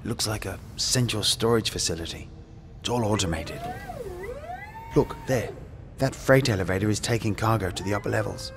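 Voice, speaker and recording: An actor playing a brave, quick-thinking, urgent space adventurer in an audio drama. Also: male voice, lowish voice, creaky, background noise. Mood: alert, practical.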